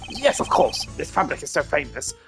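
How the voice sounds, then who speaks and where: A man's voice: on the high side, big pitch swings, normal speed. A man voicing a pompous, impatient male art dealer who speaks stilted, broken English. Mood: haughty. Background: game music.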